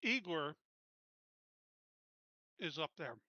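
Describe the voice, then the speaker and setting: A man plans his moves in a tabletop game in casual talk. His voice is very bright and forceful and a bit high for a man. It sounds close and dry. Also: big pitch swings, drawn-out words, no room sound, dull audio.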